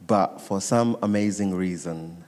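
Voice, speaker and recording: Kenyan-accented male guest speaker, lowish mellow voice, telling his story to a congregation, repeating for emphasis, big hall reverb. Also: measured pace. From Nairobi, Kenya. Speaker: guest speaker giving testimony. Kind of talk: personal testimony to a church congregation. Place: church sanctuary, amplified to a congregation. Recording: echoey large hall reverb.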